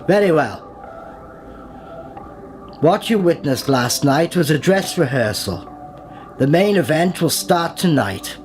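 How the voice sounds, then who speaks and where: An actor playing a pompous aristocratic cult leader in a radio play, theatrical, old-fashioned and genially menacing. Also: male voice, on the high side, lively intonation, takes it slowly, pauses often, faint music behind. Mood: grandly ominous. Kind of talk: period radio play acting.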